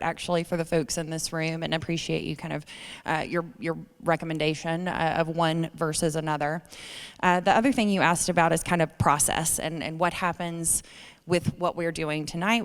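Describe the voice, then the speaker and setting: A woman hosting a public town hall from a podium microphone, speaking in a measured, courteous way with frequent fillers. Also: low voice, smooth. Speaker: state education official hosting a town hall. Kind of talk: public meeting moderation. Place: large meeting room with a podium. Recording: long hall reverb.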